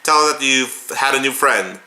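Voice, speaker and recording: A man's voice: medium pitch, moderate pace, background noise. A man hosting a reaction video, making casual, teasing and playful asides as he comments along. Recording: roomy sound, music and drama audio behind.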